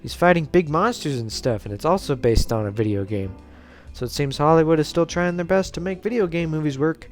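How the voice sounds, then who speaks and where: A man with a slightly high voice delivers casual scripted commentary. He is informal and sarcastic, talking like a video essayist. Music plays faintly behind him. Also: expressive, brisk. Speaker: host of a video essay series. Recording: background music under voice.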